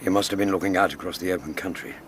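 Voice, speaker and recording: An actor playing a loyal, cautious gentleman doctor in a radio drama, speaking in a formal, courteous, measured way. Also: low-pitched, fairly even intonation. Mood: grave, resolute. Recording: outdoor ambience, water and vehicle noise.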